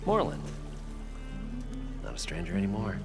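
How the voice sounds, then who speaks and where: An actor playing an eager, obsessive male inventor in a video game, with an excitable, self-important manner. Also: low-pitched, moderate pace, creaky, loud music behind the voice, exaggerated voice. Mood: eager, friendly.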